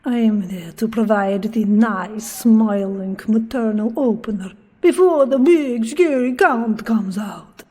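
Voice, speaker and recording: A grand, maternal stage diva, teases in a comic audio drama. Her fairly high voice takes its time and rings in a long castle reverb. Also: slow, few pauses, mellow. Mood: playful. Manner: languid, teasing, grandly theatrical. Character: theatrical female castle performer, teasing, maternal prima donna. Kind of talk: comedic audio drama acting.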